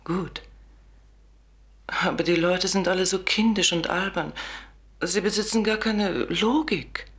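An actress playing a mentally disturbed woman in a German radio play, theatrical and intense, shifting between hushed and insistent. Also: fairly low pitch, a little slow, long pauses, natural voice. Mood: resigned, disdainful. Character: mentally disturbed female patient, grateful, confused, disdainful of others.

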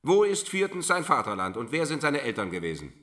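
An actor playing a suspicious, threatening regimental judge from the Thirty Years' War, questioning formally and pedantically in a radio play. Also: male voice, mid-range voice, sing-song, brisk, fairly bright tone, distant mic. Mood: stern, methodical. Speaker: military magistrate interrogating a prisoner.

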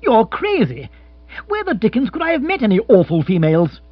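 A man's voice: extremely high pitch, wildly swooping pitch, brisk, pitched above the performer's own voice, heavily acted. A man voicing an exasperated young lord in a comic audiobook, full of blustering, exclamatory upper-class slang. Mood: indignant. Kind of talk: comic audiobook character acting. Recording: muffled, echoey recording with hum.

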